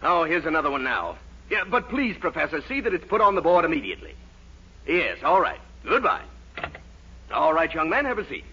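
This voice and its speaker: An actor playing a sarcastic, exasperated college professor in a fussy, theatrical 1940s radio comedy style. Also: male voice, on the high side, sing-song, a little fast, sharp tone, heavily acted. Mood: impatient, flustered. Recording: dull, noisy 1940s radio recording, audience.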